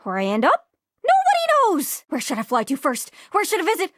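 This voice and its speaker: Living globe, very high voice, Newfoundland accent, folksy, giddy traveler at heart, video game, close dry sound. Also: female voice, wildly swooping pitch, brisk, a bit bright, no room sound, exaggerated voice. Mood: giddy, excited. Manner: bubbly, folksy, exclamatory. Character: excitable, scatterbrained living globe longing to travel. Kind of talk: video game character acting.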